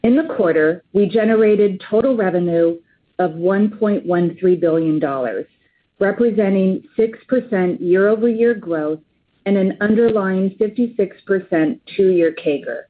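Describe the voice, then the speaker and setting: A woman's voice: medium pitch, unhurried. A woman in a finance executive role reading scripted financial results on a conference call, formal, businesslike and precise with figures. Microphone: phone conference call. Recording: tinny narrowband phone line.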